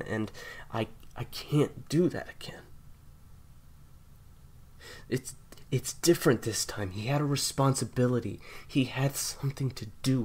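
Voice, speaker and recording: A man playing a guilt-ridden, protective, foul-mouthed assassin in an audio roleplay, halting and repeating himself, often dropping to urgent whispers. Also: mid-range voice. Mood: guilty, grieving. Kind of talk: audio roleplay drama addressed to the listener.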